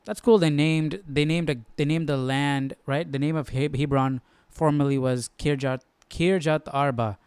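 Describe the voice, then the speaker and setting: A male streamer talks through his study informally, thinking out loud. His voice is fairly high and very clean, in a dry recording with no room sound. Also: fairly even intonation, normal speed, hardly any pauses, clear, resonant tone, no echo. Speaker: host of a live Bible study stream. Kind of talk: casual study-along commentary.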